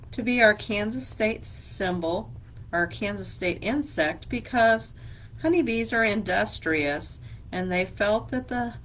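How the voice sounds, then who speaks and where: A woman's voice: mid-range voice, a little hiss or hum, low-quality recording. A woman librarian leading a children's craft lesson, speaking patiently and asking simple questions as a teacher would.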